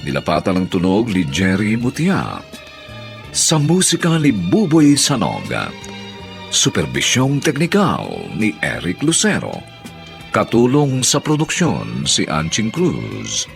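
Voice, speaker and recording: A man with a Filipino accent announcing a radio drama in theatrical, measured scripted narration. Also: lowish voice, huge pitch swings, vocal fry. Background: music.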